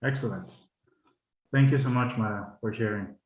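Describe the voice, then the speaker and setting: A man's voice: fairly low pitch, normal speed, a little hiss or hum. A man speaking English as the guest speaker, friendly and often repeating words as he thinks. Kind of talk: colloquium Q&A. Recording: echoey room.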